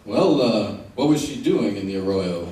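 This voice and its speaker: A man playing a steady American frontier lawman in a radio western, plainspoken and hesitant. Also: fairly low pitch, close to an everyday voice. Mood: curious, amused. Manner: hesitant, plainspoken, thoughtful pauses. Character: steady, easygoing male frontier lawman of the Old West. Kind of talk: old-time radio western acting. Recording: echoey, distant old radio recording, faint noise.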